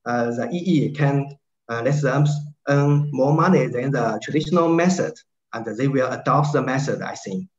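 A man with a Chinese accent answering questions on a video call, halting and full of fillers as he searches for words in English. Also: mid-range voice. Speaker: invited speaker, agricultural researcher. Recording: dull lo-fi call audio in an echoey room.

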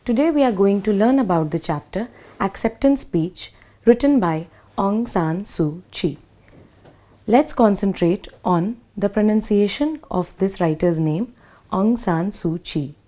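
A woman in her thirties with an Indian English accent, clearly and methodically explaining a school English lesson. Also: mid-range voice, animated, unhurried, warm tone. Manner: clear, methodical, spells words out. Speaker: English teacher. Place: small studio in front of a whiteboard.

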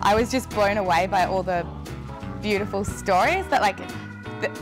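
A woman's voice: mid-range voice, normal speed, music in the background. A young adult Australian woman recounting experiences in a casual interview. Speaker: youth climate network member. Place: indoor living room on a sofa.

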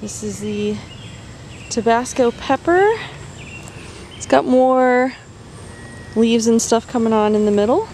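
A woman's voice: on the high side, a little mellow, slight background noise. A woman vlogger giving a casual walking tour of her backyard vegetable garden, pointing out plants one by one, filmed on a handheld camera outdoors. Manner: chatty, pointing things out plant by plant. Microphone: handheld phone camera, filming while walking.

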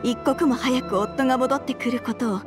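Game role: woman as troubled wife and mother, higher-pitched, measured, courteous and earnest. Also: steady tone, music in the background, natural voice. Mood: grateful, anxious. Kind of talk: video game voice acting.

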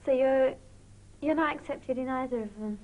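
A British teenage girl speaks in a high voice. She answers informally, as a student being interviewed in a small room. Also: moderate pace, narrow, tinny audio. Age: teens. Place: small indoor classroom.

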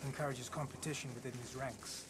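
A man's voice: medium pitch, fairly even intonation, a little fast, background noise, close to an everyday voice. An actor playing a shrewd, hardened male mercenary leader in a dramatic video game, wry and assertive. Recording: clear music behind.

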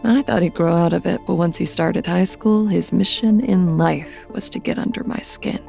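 A woman's voice: fairly low pitch, normal speed, creaky, darkish tone, faint music behind. A woman playing a controlling, bitter middle-aged mother in an audio drama, haughty, clipped and evasive. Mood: resentful, wounded.

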